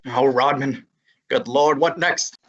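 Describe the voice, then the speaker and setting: A wisecracking young male sidekick detective, played by a young student actor, talking tough and slangy at mid pitch with a husky edge. The sound is a little dull and lo-fi, like a school radio play. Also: takes it slowly, fairly bright tone. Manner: brash, slangy tough-guy delivery. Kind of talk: old-time radio drama acting and narration.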